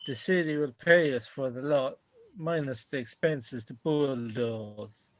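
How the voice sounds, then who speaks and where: A man's voice: medium pitch, a little slow, no room sound, close to an everyday voice. A man playing a matter-of-fact husband explaining bad news in an amateur radio play.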